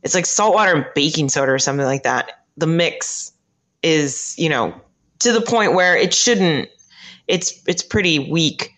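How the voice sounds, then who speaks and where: A woman who works as a late-night radio host, chatting as a podcast guest, halting and laughing often as she talks. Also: low-pitched, expressive, a bit bright. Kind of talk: casual chat.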